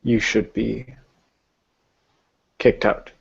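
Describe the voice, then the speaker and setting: A man voices a grave, concerned female werewolf elder in a roleplaying game. The voice is low and very slow, halting between earnest, emphatic phrases of blunt advice. The sound is dull and lo-fi. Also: level tone, gravelly, slightly rough, exaggerated voice. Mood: grave, advising.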